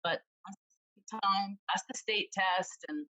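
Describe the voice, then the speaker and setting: A woman real estate agent chatting as an interview guest, with a loud voice, telling stories lively and acting out what others said. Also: mid-range voice, unhurried, low-quality recording. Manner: animated storyteller, acts out quoted voices. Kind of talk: casual interview chat.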